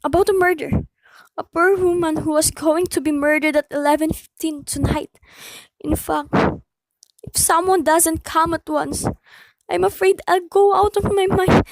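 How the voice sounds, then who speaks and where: A woman's voice: very high-pitched, steady tone, measured pace, no room sound, low-quality recording. A woman playing an anxious, demanding invalid wife in a radio play, fretful and insistent. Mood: nervous, insistent.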